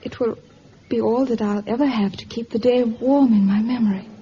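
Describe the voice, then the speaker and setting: A woman's voice: fairly high pitch, fairly even intonation, moderate pace, warm tone, natural voice. An actress playing a wistful seventeen-year-old girl, hesitant and yearning, in a vintage radio drama. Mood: pleading, wistful. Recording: dull, hissy vintage radio recording.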